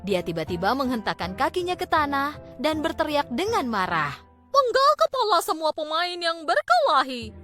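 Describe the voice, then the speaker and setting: High-voiced, animated woman storyteller. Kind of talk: scripted children's fairy tale narration. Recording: background music.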